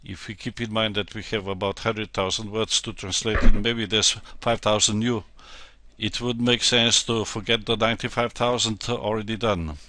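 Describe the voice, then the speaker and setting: A man with a Slovenian accent, a native Slovenian speaker, giving an unscripted software tutorial in a halting, hesitant way. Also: fairly low pitch, fairly even intonation, no room sound. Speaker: translator demonstrating software.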